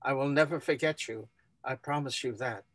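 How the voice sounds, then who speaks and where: A man giving a dramatic, measured reading of a literary text. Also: medium pitch, a bit bright, dry recording.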